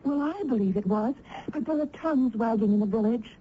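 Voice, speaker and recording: High, husky, tender village girl. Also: fairly quick. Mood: troubled. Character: tender, troubled young village niece. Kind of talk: radio drama acting. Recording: dull old radio recording.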